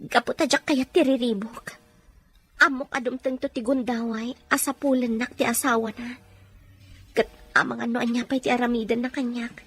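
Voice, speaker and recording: A woman's voice: a little high, flat delivery, moderate pace, husky. A woman playing a regretful, resigned young mother in an audio drama, confiding and subdued. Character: regretful, resigned young mother working abroad, former secretary. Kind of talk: amateur audio drama acting.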